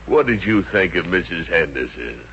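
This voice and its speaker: An actor playing a meek, henpecked middle-aged American boss in a 1950s radio comedy, hesitant and stammering. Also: male voice, deep voice, very animated, moderate pace, a little hiss or hum. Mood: agitated, resolute. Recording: muffled 1950s radio recording.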